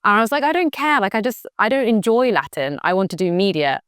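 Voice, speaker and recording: A young woman talks freely and eagerly as an interview guest. Her voice is smooth and clear, and it sits in a middle pitch. The sound is close and dry, with no room around it. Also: very animated, fast, fairly bright tone, no echo. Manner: chatty and enthusiastic, full of "like" and "you know". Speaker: guest, former government official. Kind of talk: casual interview answers. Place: campus recording room.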